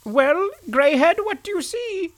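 A man voicing an excitable, eager and anxious rooster in a storybook tale, in a creaky, strained falsetto. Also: unhurried, exaggerated voice. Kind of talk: storybook character voice.

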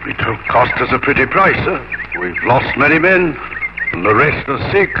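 An actor playing a hardy, dutiful seafaring first mate in a 1950s radio drama. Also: male voice, mid-range voice, very animated, moderate pace, natural voice. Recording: muffled, very noisy old radio recording.

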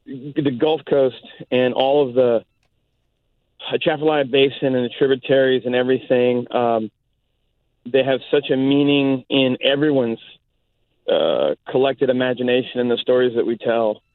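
A man's voice: medium pitch, a little slow, dry recording. A man from South Louisiana, a native Cajun French speaker, calling in and talking reflectively, searching thoughtfully for words. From South Louisiana, United States. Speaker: caller. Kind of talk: reflective call-in talk. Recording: narrow phone line.

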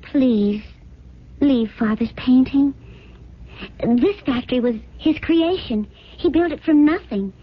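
An actress playing a naive, adoring, refined heiress wife in a radio drama, gushing and earnest with a breathy voice. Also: female voice, high voice, slow pace. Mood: pleading. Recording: dull lo-fi 1970s radio broadcast.

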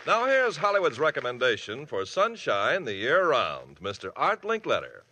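A man announces the next segment in a formal, lively voice. Heavy noise fills the old broadcast. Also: mid-range voice, moderate pace. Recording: noisy old radio broadcast.

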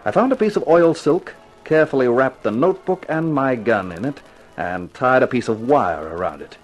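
Hardboiled, cool-headed male private investigator, played by an actor, terse and wry, medium-pitched, animated 1950s radio style. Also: normal speed, faint music behind, natural voice. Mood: matter-of-fact. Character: tough, cool-headed male private detective narrating his case. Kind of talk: 1950s radio detective drama acting.

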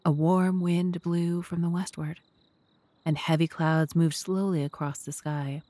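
A woman's voice: deep voice, dark tone, dry recording. A woman narrating an audio drama from a script, in a storytelling style.

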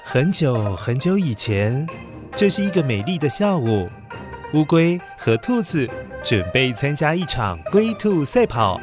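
Man, children's storytelling, dramatic, swooping high-set voice, music behind. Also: a little slow. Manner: expressive, dramatic, with exclamations and sound words. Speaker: children's story host and narrator. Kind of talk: animated scripted children's storytelling.

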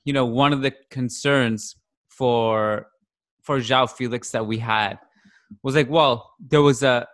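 A man's voice: medium pitch, steady tone, slow, a bit bright. A man co-hosting a football podcast, chatting casually and rambling with frequent restarts as he thinks aloud. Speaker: podcast co-host.

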